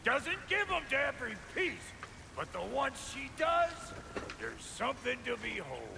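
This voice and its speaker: An actor playing a boisterous, cranky, proud dwarf blacksmith in a video game, with a gravelly voice and folksy, irreverent talk. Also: male voice, extremely high pitch, huge pitch swings, a little slow, noisy background, heavily acted. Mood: grumbling. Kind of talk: video game character acting.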